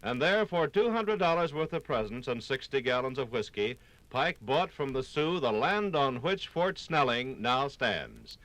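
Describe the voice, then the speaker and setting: A man's voice: mid-range voice, big pitch swings, measured pace, a bit bright, no echo, low-quality recording. A man narrating a scripted 1950s radio documentary with dramatic, punchy phrasing.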